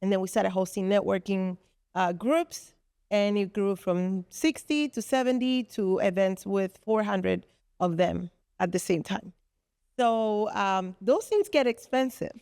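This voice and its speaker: Woman, smooth voice. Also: mid-range voice, measured pace, a little mellow, no room sound, clean studio sound. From Dominican Republic. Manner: earnest, explains at length with fillers. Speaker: guest, co-founder of a business space. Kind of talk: podcast interview.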